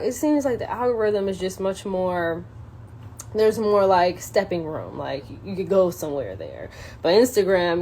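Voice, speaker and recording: A woman on a casual solo livestream, halting and thinking aloud as she chats and reads from a book. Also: on the low side, a little mellow. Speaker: social media live streamer. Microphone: phone propped up in a window. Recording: clear background noise, ambient sound.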